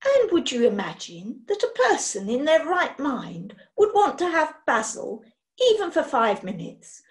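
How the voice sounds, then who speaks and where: A woman's voice: wildly swooping pitch, a little slow, heavily acted. A woman playing a gushing eccentric witch lady. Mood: lecturing. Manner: theatrical, rising to outraged squeaks.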